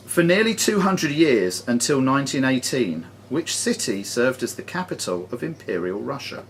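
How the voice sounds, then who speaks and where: A man's voice: mid-range voice, big pitch swings, normal speed. A man acting as quizmaster, reading questions formally and measuredly to players in a club room, with the odd jokey aside. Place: sports and social club room. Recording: noisy live room recording.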